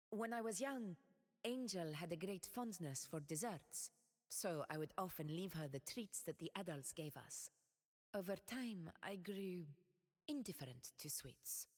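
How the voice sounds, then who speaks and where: Proud noble ruler in a game, solemn, measured, slow-spoken, echoing hall. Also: female voice, lowish voice. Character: proud noble woman ruler, steadfast warrior. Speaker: game character voice lines. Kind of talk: game voice lines.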